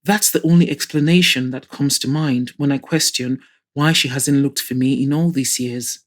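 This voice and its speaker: A woman's voice: very deep voice, fairly even intonation, moderate pace. A woman reading the part of an anxious, hopeful, chatty young woman in an audio drama. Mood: sad, anxious.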